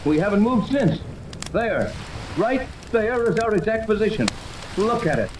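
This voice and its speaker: A blunt skipper, animated, noisy recording. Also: male voice, high-pitched, measured pace. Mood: brisk, commanding. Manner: commanding, blunt. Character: skeptical, take-charge male yacht captain. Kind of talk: 1930s radio serial acting. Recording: noisy old 1930s radio recording.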